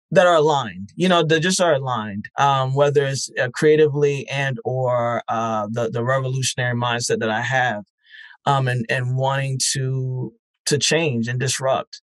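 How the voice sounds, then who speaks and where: A man talking as an interview guest, thoughtful and earnest, pausing often with "um" and restarting his sentences. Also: medium pitch, dry recording. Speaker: podcast festival founder, guest. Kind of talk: casual interview talk.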